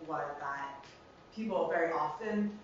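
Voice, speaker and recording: Young American female student presenter, informal and halting, muffled by a dull recording with clear background hiss. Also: sits low. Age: young adult. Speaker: student researcher presenting fieldwork. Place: reverberant indoor room, recorded from afar. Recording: very echoey room, distant muffled mic, noisy.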